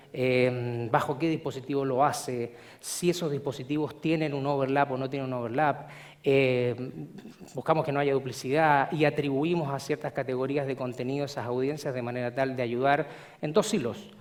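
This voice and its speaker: A middle-aged man from Chile with a Chilean accent, giving a measured, explanatory conference presentation with frequent filler sounds. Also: medium pitch. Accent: Chilean Spanish. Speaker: conference speaker. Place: conference hall.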